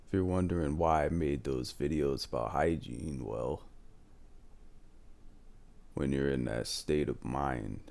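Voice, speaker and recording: A man in his late twenties talks through life advice in a loose, candid way, dropping "man" at the ends of sentences. His voice sits very low and has a gravelly, creaky grain. The delivery is reflective and unscripted. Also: a little slow, long pauses, a little mellow. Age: 20s. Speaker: advice channel host. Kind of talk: casual advice monologue.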